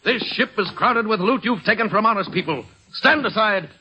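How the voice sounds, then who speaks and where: An actor playing a brash, hot-tempered colonial patriot captain in an old-time radio drama, salty and commanding. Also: male voice, high voice, expressive, moderate pace, muffled recording, background noise. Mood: scornful. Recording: vintage radio recording with music.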